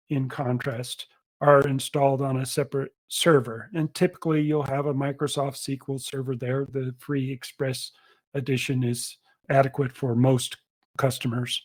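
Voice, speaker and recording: A man presents a software demo in a mid-pitched voice. He explains steadily and fills his pauses with ums and uhs. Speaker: webinar presenter. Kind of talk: product demo webinar.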